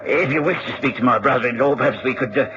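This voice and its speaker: An actor playing an irascible, eccentric English landowner in an old radio drama, brusque and distracted. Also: male voice, medium pitch, fast talker, lightly acted. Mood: preoccupied, eager. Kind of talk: 1960s radio drama acting. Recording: dull, noisy old radio recording.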